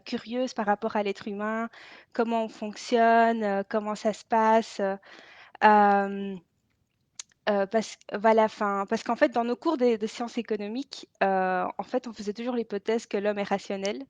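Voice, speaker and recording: A woman's voice: fairly high pitch, no echo. A woman hosting a casual live stream, talking hesitantly with lots of fillers and restarts. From Belgium. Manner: hesitant, many fillers and restarts, frequent laughter. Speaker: laughter yoga host. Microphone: added microphone. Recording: dull lo-fi live stream audio.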